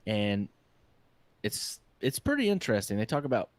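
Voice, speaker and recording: Low-voiced man, slightly congested. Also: huge pitch swings, normal speed, no room sound. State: a little congested. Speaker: podcast co-host. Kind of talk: casual podcast chat. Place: hot room with a fan.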